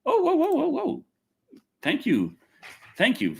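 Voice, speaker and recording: A man hosting a livestream and reviewing films in casual, excitable, rambling chat, repeating himself and swearing freely, with a husky voice. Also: very high voice, slow. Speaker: livestream host and film reviewer.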